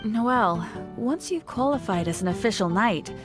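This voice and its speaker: A woman's voice: mid-range voice, expressive, normal speed, over music, lightly acted. A woman playing a friendly, playful townswoman in a fantasy video game, chatty and gracious. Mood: playfully wistful. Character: friendly, teasing female shopkeeper in fantasy town.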